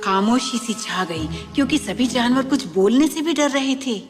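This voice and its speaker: A woman's voice: a little high, animated, moderate pace. A woman narrating a scripted children's story as a storyteller. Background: music.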